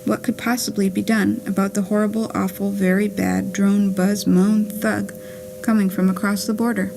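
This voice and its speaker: A woman reading a scripted poetic monologue for a puppet play as a wry, whimsical letter writer from beyond the grave, in a measured, deliberate way. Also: medium pitch, little pitch movement, gravelly, dark tone, slight background noise, close to an everyday voice, low-quality recording. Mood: wry, wistful. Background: music.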